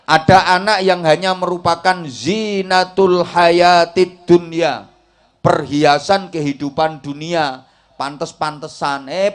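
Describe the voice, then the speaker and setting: A man with a Javanese accent giving a humorous Islamic sermon in a folksy, rhetorical way, often checking that his listeners follow. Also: on the high side. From Java, Indonesia. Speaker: Islamic preacher giving a talk to a congregation.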